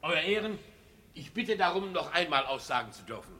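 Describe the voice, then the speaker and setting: An actor playing an earnest company director on the witness stand, husky and emphatic, in a 1970s radio play. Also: male voice, fairly high pitch, sing-song, normal speed, pushed and bright, exaggerated voice. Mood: urgent, respectful.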